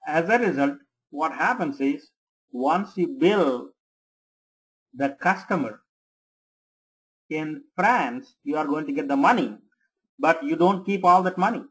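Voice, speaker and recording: Slow-paced software trainer. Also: mid-range voice, animated, rough recording. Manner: instructional, short commands with frequent checks. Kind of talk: step-by-step software tutorial. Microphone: screen-recording voice-over.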